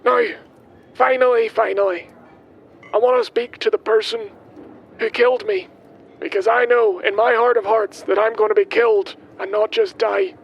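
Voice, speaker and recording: Man playing a very high-voiced, rambling, earnest milkman. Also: fairly even intonation, gravelly, husky, muffled recording, exaggerated voice. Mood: solemn, forgiving. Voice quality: hesitant, halting delivery. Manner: chatty, guileless, wandering off on tangents. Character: rambling, earnest, kindly milkman recording his amended will. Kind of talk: audio drama monologue, spoken as a voice diary. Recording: faint vehicle noise behind.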